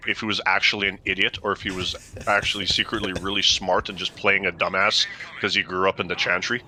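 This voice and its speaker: A man's voice: low-pitched, level tone, quick pace, slight background noise, rough recording. A man giving his opinions in casual chat as a fellow player on a gaming stream. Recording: very loud game gunfire behind voice. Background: loud video game gunfire and artillery.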